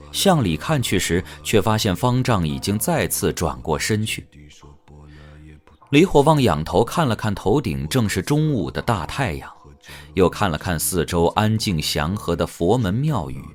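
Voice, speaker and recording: A man's voice: sits low, very animated, measured pace. A man narrating an audio drama in a dramatic, scripted storytelling style.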